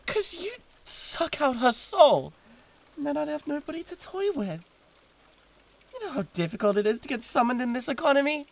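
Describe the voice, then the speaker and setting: A man playing a possessive, flustered demon with a British accent and a breathy voice, halting and repeating himself in a flirtatious audio roleplay. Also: extremely high pitch, expressive, heavily acted. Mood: indignant, possessive. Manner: halting, repeating words with wounded pride. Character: jealous, possessive male demon, flustered and pleading.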